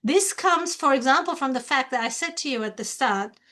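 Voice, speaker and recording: A woman's voice: high-pitched, animated, normal speed, bright, forceful tone. A woman whose first language is not English, giving a university lecture in an earnest, explanatory way and posing rhetorical questions to her students. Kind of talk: lecture on academic reading.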